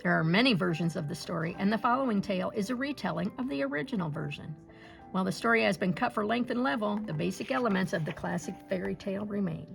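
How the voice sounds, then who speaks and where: A woman reads a children's fairy tale aloud, in a gentle storybook manner. Her voice carries heavy vocal fry and sits at a middle pitch. Soft music plays faintly behind her. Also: expressive, fast talker.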